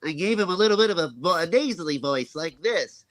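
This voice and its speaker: A man hosting a casual chat, relaxed and agreeable, reading out viewer questions. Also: sits high, a little fast, no room sound. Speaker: host and interviewer.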